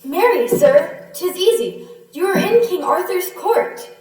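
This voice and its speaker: A woman's voice: very high voice, animated, a little slow, darkish tone. A woman playing an earnest little medieval page boy with archaic, courtly speech in an old-time radio play. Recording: distant echoey room, music behind.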